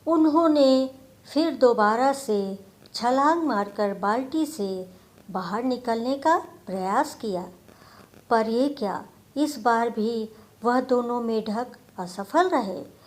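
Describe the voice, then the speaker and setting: A woman narrating a scripted moral story for children. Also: a little high.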